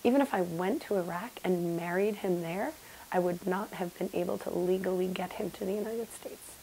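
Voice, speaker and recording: A woman's voice: lowish voice, moderate pace, vocal fry, slight background noise, poor audio. A woman reflecting earnestly on her husband's journey in a documentary interview. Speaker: interviewee, wife. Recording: loud music underneath.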